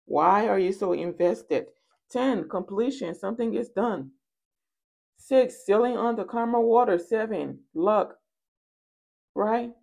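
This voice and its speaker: Female tarot reader, mid-pitched, playful storyteller, casual and slangy delivery. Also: slow, creaky. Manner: playful, animated storytelling with quoted asides and slangy endearments. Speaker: tarot reader and channel host. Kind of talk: casual spoken tarot card reading. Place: indoors at a card table.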